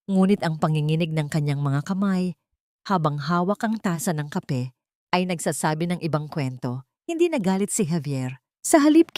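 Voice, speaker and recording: A woman narrating a scripted audio drama in a steady, dramatic storytelling manner. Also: low voice, no echo, studio quality.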